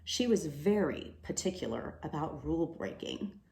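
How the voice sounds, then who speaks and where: A woman reading a children's storybook aloud with expressive, dramatic delivery. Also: deep voice, normal speed, gravelly. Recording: roomy, echoing room sound.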